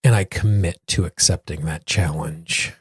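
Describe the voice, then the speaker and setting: Man, podcast host, lowish pitch, husky edge, earnest deliberate delivery, solo talk. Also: dry recording, studio quality.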